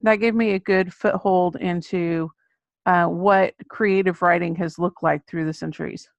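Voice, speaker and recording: A woman's voice: fairly low pitch, steady tone, measured pace, gravelly, no echo, lo-fi sound. American woman author in a dry recording. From Texas, United States. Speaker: children's book author, podcast guest. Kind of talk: interview conversation, explaining her writing.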